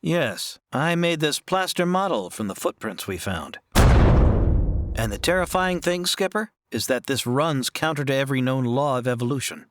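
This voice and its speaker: An actor playing an earnest, wary ship's doctor in a radio science fiction parody. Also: male voice, mid-range voice, expressive, normal speed, faint music behind, close to an everyday voice.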